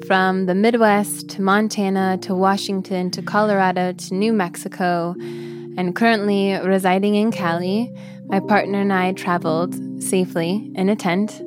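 A woman's voice: mid-range voice, fairly even intonation, normal speed. A woman podcast listener reading a prepared thank-you message. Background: guitar music.

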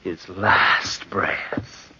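A man's voice: sits low, steady tone. An actor playing an intense man sinking into murderous madness in old-time radio horror, drawing out his words between whispers and cries. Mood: sinister, intense. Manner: drawn-out, intense, whispering and crying out. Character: man slipping into murderous madness, obsessively listening. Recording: muffled, noisy, crackly vintage radio recording.

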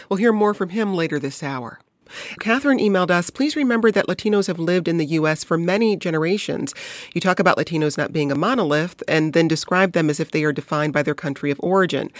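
Dry-sounding radio news host. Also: female voice, deep voice, dry recording. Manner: clear, reads emails and intros aloud. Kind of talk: scripted news radio hosting.

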